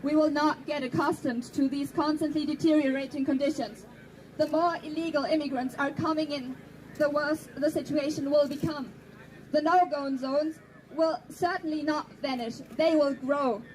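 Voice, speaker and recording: High-pitched woman giving a speech. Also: fairly even intonation, darkish tone, slight background noise, low-quality recording. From Germany. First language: German. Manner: earnest, pointed, slows down for emphasis. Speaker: activist speaker. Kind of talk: prepared speech to an audience.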